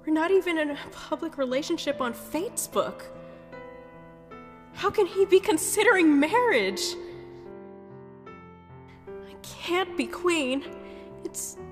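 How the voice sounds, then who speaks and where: Insecure, jealous nymph played by a woman: high voice, emotional and hot-tempered, music underneath. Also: animated, takes it slowly, pauses often, full character voice. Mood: anxious, frustrated. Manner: emotional, swinging from brooding to outbursts. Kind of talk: dramatic webcomic dub acting.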